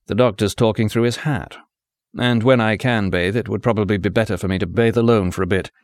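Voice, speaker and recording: A wounded, brooding man, curt and sardonic, read by a male narrator in a near-natural voice. The voice sits low. The sound is close and dry. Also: steady tone, a little fast, no echo, lightly acted. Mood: bitter. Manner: clipped, gruff and sardonic.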